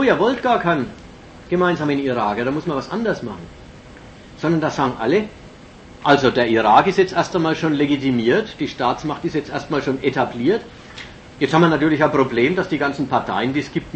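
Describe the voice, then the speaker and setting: Male lecturer, slightly high voice, emphatic and analytical, muffled lo-fi tape with faint hiss. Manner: emphatic, analytical, with many fillers and restarts. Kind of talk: political lecture. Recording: dull lo-fi recording with faint hiss.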